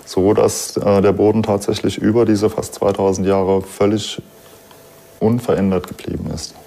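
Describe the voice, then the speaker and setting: A man's voice: fairly low pitch, level tone, moderate pace, creaky, mellow. A man explaining unscripted as an interviewed expert, somewhat hesitant.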